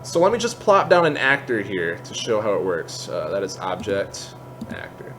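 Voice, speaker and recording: A man's voice: lowish voice, very animated, normal speed, fairly bright tone. Man narrating a tutorial over background noise. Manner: explanatory, conversational asides. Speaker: tutorial host. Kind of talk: informal software tutorial narration.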